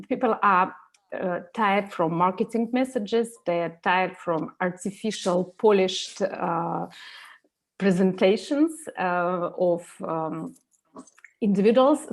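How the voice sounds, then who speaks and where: Hesitant woman co-host. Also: mid-range voice. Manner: thoughtful, hesitant, many fillers. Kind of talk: explanatory discussion.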